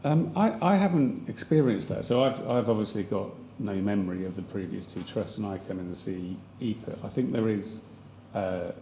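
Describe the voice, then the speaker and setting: A man with a slightly croaky voice, giving hesitant formal testimony as a chief executive at a public hearing, with many fillers and restarts. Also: on the low side, moderate pace, mellow, slight background noise. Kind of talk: formal testimony under questioning. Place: large public hearing hall. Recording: echoey hall with faint ambience.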